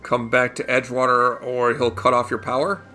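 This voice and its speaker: A man hosting a casual let's play, his voice gravelly as he fights a cold, talking into a desktop microphone. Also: mid-range voice, little pitch movement, normal speed, sharp tone. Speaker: gaming channel host. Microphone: USB desktop condenser microphone. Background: game music.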